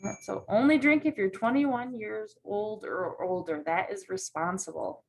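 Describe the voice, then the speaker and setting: A woman's voice: mid-range voice, measured pace, gravelly, slight background noise, rough recording. Teacher, woman, patient read-aloud lesson, keeps checking in with students. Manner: patient, checks in with frequent "right?" questions.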